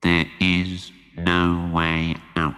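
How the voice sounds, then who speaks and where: Doom-laden, cavernous philosopher fish. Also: male voice, sits low, monotone, sharp tone, pitched below the performer's own voice, exaggerated voice. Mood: gloomy, resigned. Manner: slow, resigned, portentous. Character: gloomy, fatalistic philosopher tuna fish, male. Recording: long cavernous reverb.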